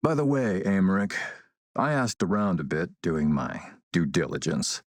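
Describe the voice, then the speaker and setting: A man playing a smooth, menacing military warlord and arms dealer in a video game, suave, commanding and measured. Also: sits low, very animated, no echo, exaggerated voice. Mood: polite, probing. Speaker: villain character in an action role-playing game. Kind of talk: acted video game dialogue.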